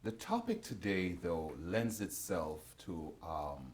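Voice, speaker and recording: A man hosts a talk show with a low voice that rises and falls with lively, animated intonation. He leads the conversation, puts questions to his guests and cuts in to push back on their points. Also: takes it slowly.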